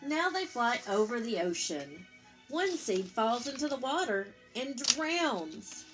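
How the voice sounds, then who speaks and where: A woman reading a picture book aloud to young children in an expressive, explanatory teacher's manner. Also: on the high side, music in the background. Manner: expressive, pausing to explain and pose simple questions. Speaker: storytime reader, teacher.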